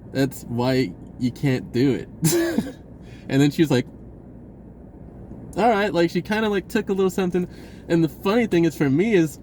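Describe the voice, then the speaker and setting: A man telling a personal story in a casual, rambling vlog style, acting out bits of dialogue in an animated way. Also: fairly high pitch, a little hiss or hum. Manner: animated, acts out dialogue, chuckles often.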